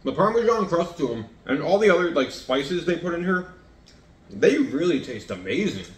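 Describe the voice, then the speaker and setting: A man's voice: on the high side, very animated, normal speed. A man in his thirties chatting casually to camera in his home kitchen as he cooks and taste-tests, rambling and self-deprecating. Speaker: home cooking vlogger. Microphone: fixed camera across the counter. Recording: echoey kitchen.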